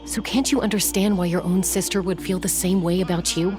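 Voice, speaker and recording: Woman voicing a composed big sister in a game, lowish voice, calm and a bit wry, music behind. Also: lightly acted. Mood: calm, firm. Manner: calm, measured, lightly wry. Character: composed, teasing, confident older sister. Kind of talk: video game voice acting.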